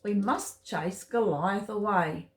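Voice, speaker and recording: A woman's voice: mid-range voice, slow. A woman voicing a brave, earnest little boy mouse in a children's story, speaking plainly and with determination. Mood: determined. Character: little brave young male mouse, calm and faithful. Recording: roomy, echoey home recording.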